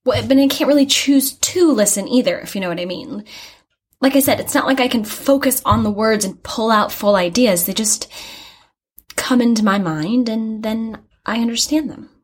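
An earnest young female student in an audio drama, with a slightly husky, breathy voice. She talks openly and chattily, rambling and hesitating as she searches for the right words. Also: fairly high pitch, moderate pace. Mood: searching, explaining. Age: young adult. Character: earnest, chatty young art student who hears angels. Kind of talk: naturalistic audio drama acting.

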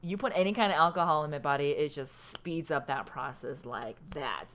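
A woman's voice: deep voice, brisk, fairly bright tone. A woman co-hosting a podcast in casual chat. She talks loosely, laughs often and swears casually. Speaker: podcast co-host.

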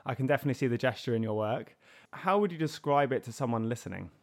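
A man's voice: mid-range voice, expressive, fast. Friendly male podcast host. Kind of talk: scripted intro, then casual interview questions.

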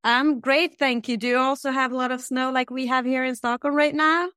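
A woman hosting an interview, reading scripted questions in a steady, measured way. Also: sits high, smooth, no room sound, studio quality. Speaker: podcast interviewer. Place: Stockholm.

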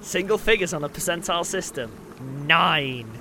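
Halting, wry man, slightly high-pitched. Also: expressive, sharp tone. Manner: halting, wry, self-deprecating. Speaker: tabletop game player. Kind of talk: casual improvised game table chat. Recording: clear background noise.

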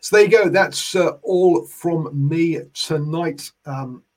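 Brief: male NZ rugby podcast host, hoarse from a head cold, husky, rambling with lots of ums and uhs. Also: on the high side, takes it slowly. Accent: New Zealand. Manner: rambling, many fillers and restarts. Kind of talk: casual solo sports talk.

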